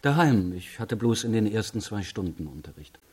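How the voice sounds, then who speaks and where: Reserved teacher in his thirties, radio crime play: very low, husky, restrained answers. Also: very animated, darkish tone. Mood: guarded. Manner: measured, restrained, short answers. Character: reserved schoolteacher in his early thirties, guarded suspect. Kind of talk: German radio crime drama acting.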